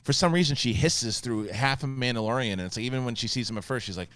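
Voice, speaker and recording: A man's voice: mid-range voice, fast, no echo. A man in his thirties hosting a casual podcast chat, talking eagerly with many restarts. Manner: animated, talks with many restarts. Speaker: podcast host.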